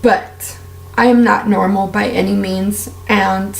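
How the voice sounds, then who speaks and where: Raspy woman venting in an echoey room. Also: medium pitch, steady tone, slow. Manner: candid, heartfelt, rambling with fillers. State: raspy, strained voice. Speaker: beauty vlogger. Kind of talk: casual personal rant while doing makeup.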